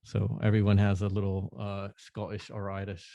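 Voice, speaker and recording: A man talking as a podcast guest in casual chat, relaxed, laughing often and restarting sentences. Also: lowish voice, normal speed, vocal fry, dry recording, highs cut off. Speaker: podcast guest, NFT artist.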